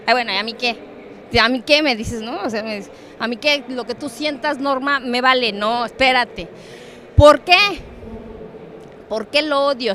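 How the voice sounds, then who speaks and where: A middle-aged woman with a Mexican Spanish accent giving a conference talk on trade, animated and joking, often turning to the audience with questions. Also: fairly high pitch, a little hiss or hum. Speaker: conference speaker on international trade. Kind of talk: lively conference talk with props and asides. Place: conference hall.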